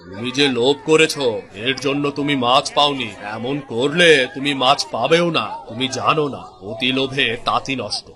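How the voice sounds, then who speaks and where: A man voicing an honest, contented fisherman father in a cartoon, measured and moralizing. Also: mid-range voice, sharp tone, natural voice. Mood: admonishing. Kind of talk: Bengali cartoon voice acting.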